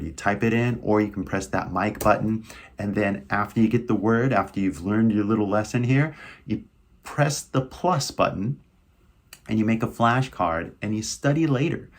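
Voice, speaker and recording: A man teaching English in a casual video lesson, coaching with repetitive questions like "right?". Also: fairly low pitch.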